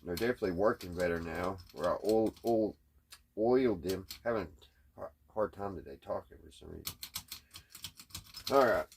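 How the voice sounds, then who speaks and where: A man chatting casually in a halting, puzzled, folksy way, thinking out loud as he tinkers. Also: low voice, vocal fry, slight background noise. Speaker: host of a radio repair hobby channel. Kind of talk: casual hands-on repair chat, thinking out loud.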